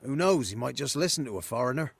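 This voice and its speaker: A man's voice: mid-range voice, animated, quick pace, vocal fry, background noise. An actor playing a humble, superstitious male villager in a video game, speaking earnest rustic dialect. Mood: cautious. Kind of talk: video game character acting.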